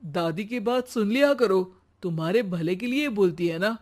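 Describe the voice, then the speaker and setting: A man's voice: high-pitched, lively intonation, normal speed. A man voicing a harried young husband in a cartoon, coaxing and a bit impatient. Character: harried young husband Suraj, office worker. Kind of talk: cartoon voice acting.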